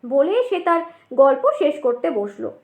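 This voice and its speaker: A woman narrates a scripted ghost story in a dramatic storytelling style. Her voice is very high and moves widely in pitch at an unhurried pace, with a roomy echo around it. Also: very animated, phone-quality sound.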